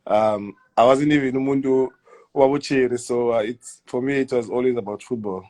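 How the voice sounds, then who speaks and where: A man in his thirties with a South African accent, answering interview questions in a hesitant, halting way, often repeating words and letting sentences trail off quietly. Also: mid-range voice. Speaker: guest footballer. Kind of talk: casual interview.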